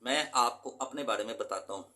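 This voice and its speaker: Bright, emphatic male English teacher. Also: medium pitch, fairly quick. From Pakistan. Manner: earnest, emphatic, mixes in English terms. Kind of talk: motivational lecture to students.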